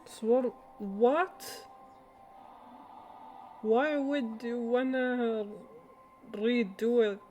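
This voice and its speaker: A woman reacts casually on a game livestream, thoughtfully repeating words to herself. Her voice is slightly high and unhurried, with some noise on the mic. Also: warm tone, lo-fi sound. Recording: noisy home stream microphone.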